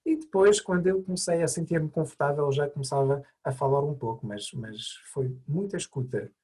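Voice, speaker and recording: A man's voice: a little high, moderate pace, darkish tone, husky. A man who learned Portuguese as an adult, by birth, answering interview questions thoughtfully with many hesitations and restarts. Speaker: mediator, interview guest.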